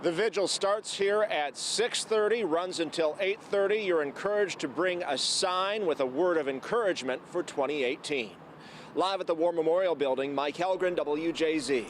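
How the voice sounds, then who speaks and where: A male television news reporter with a fairly high voice. He delivers a scripted live report in broadcast style. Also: moderate pace, long pauses, slight background noise.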